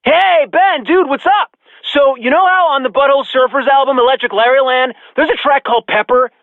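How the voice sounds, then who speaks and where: A man's voice: very high voice, fairly quick, no room sound. A man playing a naive, slangy slacker dude calling a video game radio show over a tinny phone line. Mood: naive, earnest.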